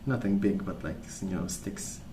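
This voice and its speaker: A man speaking softly as an ASMR host, rambling through stories in a hesitant, pausing, unhurried way. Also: sits low, background noise. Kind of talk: soft-spoken ASMR rambling.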